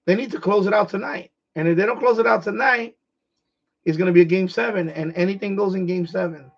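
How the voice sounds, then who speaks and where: A middle-aged man from New York with a New York accent, hoarse, chatting casually on his livestream and restarting his phrases as an eager sports fan. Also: high voice, a little fast. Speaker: livestream host. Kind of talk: casual rambling chat. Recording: dull lo-fi stream audio.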